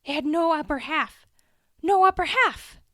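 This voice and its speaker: A woman's voice: high-pitched, animated, unhurried, a bit bright, dry recording, clean studio sound, pitched above the performer's own voice. A woman voicing a shaken, earnest male forest ranger in a story, breathless and insistent. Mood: shaken, frantic. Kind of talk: audiobook character dialogue.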